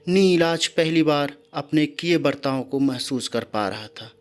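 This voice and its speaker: Higher-pitched male storyteller over music. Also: lively intonation, measured pace. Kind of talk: dramatic scripted story narration. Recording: background music under the voice.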